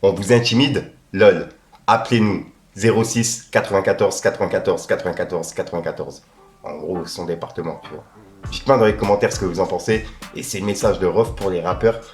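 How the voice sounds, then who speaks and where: Man, rap news host, low voice, slangy and casual, roomy echo. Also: fairly even intonation, a little fast, soft background music. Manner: casual street slang, reads posts aloud.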